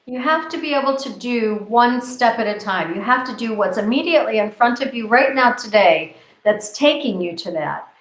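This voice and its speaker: A woman's voice: a little high, animated, moderate pace, hardly any pauses. A woman from the United States, co-hosting a casual discussion, talking earnestly and repeating phrases as she builds her point. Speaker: co-host. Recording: roomy, dull lo-fi video call audio.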